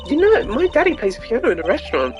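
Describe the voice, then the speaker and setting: A woman's voice: fairly high pitch, wildly swooping pitch, fast, lo-fi sound. A woman voicing a bubbly, cheeky teenage girl stage magician in an amateur video game voice-over, perky and eager. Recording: game music behind the voice.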